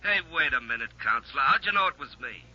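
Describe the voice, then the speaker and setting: An actor playing a blunt, needling, hard-nosed police lieutenant in a 1950s radio drama. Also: male voice, medium pitch, lively intonation, fast, pushed and bright. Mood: mocking. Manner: blunt, mocking, colloquial. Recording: muffled, noisy old-time radio recording.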